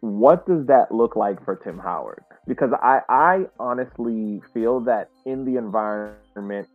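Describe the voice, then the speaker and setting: A man co-hosting a casual sports podcast, speaking in a halting, measured way and repeating words, over thin call audio. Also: medium pitch, animated, no room sound, narrow, tinny audio, soft background music. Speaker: podcast co-host.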